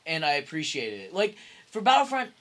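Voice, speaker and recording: A man's voice: a little high, wildly swooping pitch, brisk, a bit bright. A young man chatting casually with friends about games, rambling emphatically and swearing freely.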